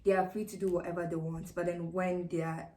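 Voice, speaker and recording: A woman's voice: fairly low pitch, level tone, fairly quick, warm tone. A young woman from Ghana chatting with friends, speaking bluntly and in an outspoken way. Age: young adult. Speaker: guest friend. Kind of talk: casual chat. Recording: echoey room.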